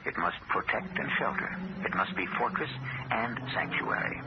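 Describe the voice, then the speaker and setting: A man hosting an old radio drama, musing to listeners in measured, scripted narration. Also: fairly low pitch, expressive, background noise. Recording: muffled old radio broadcast under loud music.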